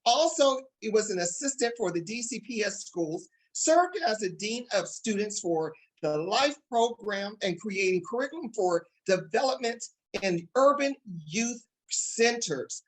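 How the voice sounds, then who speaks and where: Male, talk show host, upbeat, excited, emphatic delivery, speaks to the audience. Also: high voice, measured pace, rough recording.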